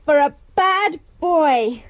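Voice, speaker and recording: A young sweetheart in a radio comedy, high-voiced and lively in pitch. She is prim, teasing and bossy, with a little background noise. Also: extremely slow, exaggerated voice. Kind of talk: comic radio drama acting. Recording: faint noise, radio broadcast.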